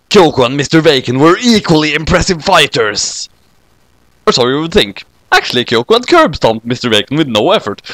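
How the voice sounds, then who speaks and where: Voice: mid-range voice, dry recording. A narrator reading a scripted comic parody story.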